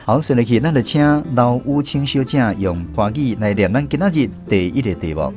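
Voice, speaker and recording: A man's voice: medium pitch, expressive, normal speed, music in the background. Taiwanese man hosting on radio. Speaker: radio show host. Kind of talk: hosting a language quiz segment.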